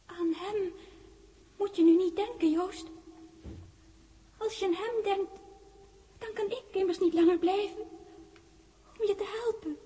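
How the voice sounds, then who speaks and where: Very high, slow, gentle little girl. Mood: sad, protective. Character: ghostly little girl Louise, gentle, sad, protective. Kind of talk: 1960s radio drama acting.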